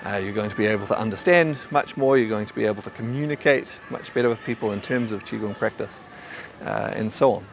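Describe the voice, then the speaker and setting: A man's voice: lowish voice, quick pace, creaky, a little hiss or hum. A man with a mild New Zealand accent, a qigong teacher talking casually and thoughtfully to camera, often stammering over restarts. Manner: thoughtful, rambling, frequent stammered restarts and fillers.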